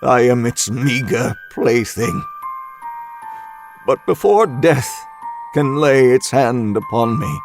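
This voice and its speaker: A man reading a first-person horror story with a measured, dramatic delivery. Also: medium pitch, expressive, vocal fry. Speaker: narrator. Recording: music bed underneath.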